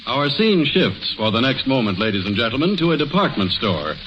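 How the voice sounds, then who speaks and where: Animated old-time radio announcer man. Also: mid-range voice, a little fast, music in the background. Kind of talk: 1940s radio advertisement narration. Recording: hissy muffled old radio broadcast.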